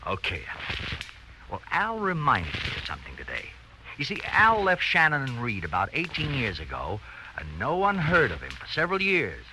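An actor in a 1940s radio drama playing a scheming, resentful husband, sly and insinuating, on a crackly old recording. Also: male voice, medium pitch, wildly swooping pitch, moderate pace. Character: scheming, resentful husband plotting against his rich friend.

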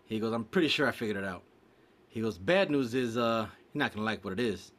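A man's voice: fairly low pitch, quick pace. A man telling a long joke in casual chat, acting out a stuttering patient and his doctor. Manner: animated storyteller mimicking a stutter.

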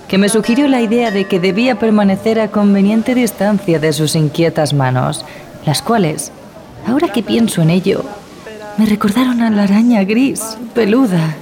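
A woman playing a wary ship passenger who recounts a horror tale in a measured, grave manner. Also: medium pitch, mellow, natural voice. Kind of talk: dramatized first-person horror narration. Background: music.

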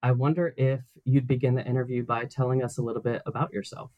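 A man's voice: mid-range voice, little pitch movement, fast talker, dry recording, muffled recording. Man hosting, formal and polite. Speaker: podcast host. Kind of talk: reading a scripted introduction.

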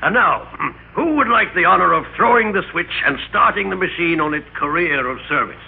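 A man's voice: high voice, expressive, a little fast, creaky, narrow, tinny audio. A man acting a pompous, smug company boss in an old-time radio drama, speaking formally and with polite condescension. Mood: grandly proud. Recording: thin band-limited old radio recording, noisy.

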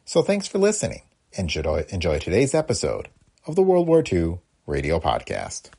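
A man with a gravelly, husky voice reading a scripted introduction as a podcast host. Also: low voice, huge pitch swings, normal speed, warm tone.

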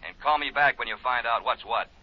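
An American actor playing a businesslike, impatient chief insurance investigator in a 1950s radio drama, talking directly and familiarly. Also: male voice, mid-range voice, brisk, very noisy, close to an everyday voice. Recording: dull 1950s radio recording.

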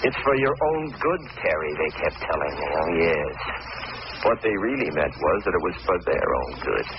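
Bitter, agitated young man for an old radio drama; animated pitch, muffled noisy recording. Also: normal speed, heavily acted. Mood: grim. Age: young adult. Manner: wry, agitated, self-pitying. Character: bitter, jilted young man, paranoid, drinking heavily. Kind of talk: 1940s radio drama acting, first-person narration.

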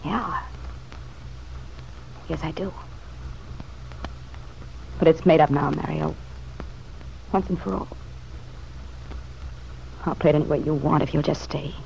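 A woman's voice: low-pitched, steady tone, slow pace, gravelly, pauses often, full character voice. An actress in an old radio drama playing a world-weary, slangy, street-wise woman who speaks with raw emotion. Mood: pleading. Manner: slangy, emotional, hushed then flaring. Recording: dull, noisy vintage radio recording.

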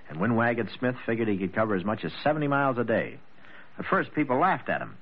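An American man giving a scripted, folksy and oratorical storytelling address in 1940s radio style. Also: medium pitch. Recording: muffled vintage radio broadcast.

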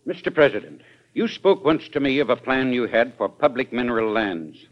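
A dull vintage broadcast of an actor as a respectful government official. His mid-pitched voice is measured and formal, in the style of 1940s radio drama. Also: animated, close to an everyday voice. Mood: measured, wry. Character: reserved, respectful male government official, wryly proud.

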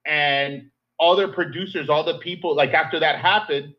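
A man in casual podcast chat, animated and joking as he talks with emphasis. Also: fairly high pitch, normal speed. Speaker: podcast co-host. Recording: dull lo-fi sound.

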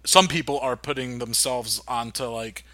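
Agreeable, rambling man, dry and bright. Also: medium pitch, normal speed, no echo. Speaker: podcast co-host. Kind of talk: casual chat.

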